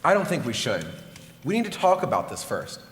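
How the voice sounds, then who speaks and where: A man's voice: medium pitch, wildly swooping pitch, brisk. A man playing an indignant, passionate juror in a live stage play, arguing earnestly. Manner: earnest, argumentative. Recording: echoey hall stage recording.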